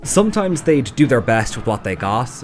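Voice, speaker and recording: A man's voice: mid-range voice, big pitch swings, normal speed. A man reading scripted video essay narration in a wry, sardonic, sweary way. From Ireland. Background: background music.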